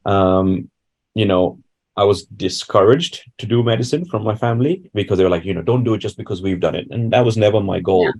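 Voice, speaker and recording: A man's voice: on the low side, a little fast, darkish tone. A man from India giving reflective, conversational answers as a doctor being interviewed. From Bangalore, India.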